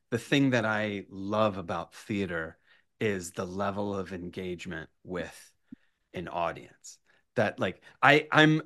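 A man's voice: low-pitched, a bit bright, no echo, studio quality. A man talking as an interview guest, a writer and performer who repeats words and builds his points in short emphatic lists. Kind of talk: animated interview conversation.

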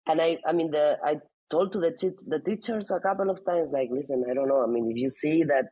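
A woman's voice: low-pitched, brisk, warm tone, breathy edge, no room sound, poor audio. A woman, talking casually in an interview about her family, with many restarts and "I mean"s. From Spain. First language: Spanish. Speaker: interviewee, mother.

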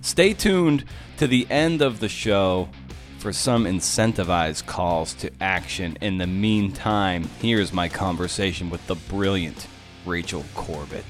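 Give the host a low male voice with an emphatic, punchy way of speaking. He presents a podcast and puts questions to guests from a small office at home, with faint guitar music in the mix. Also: a little slow. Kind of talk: podcast intro and interview questions.

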